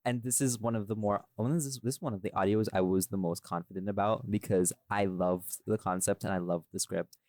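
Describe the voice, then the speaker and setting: Low-voiced man chatting, close and dry. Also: steady tone, a little fast, few pauses, a little mellow, dry recording. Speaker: audio drama creator, podcast host. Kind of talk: casual behind-the-scenes podcast chat.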